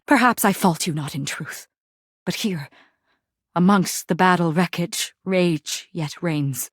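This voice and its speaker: A woman's voice: fairly low pitch, fairly bright tone, no echo, studio quality. A woman voicing a proud, tragic warrior general in a video game, declaiming in archaic, mock-Shakespearean speech with haughty anguish. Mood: haughty, menacing. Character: grandiose, tragically proud warrior general, a laundry detergent box.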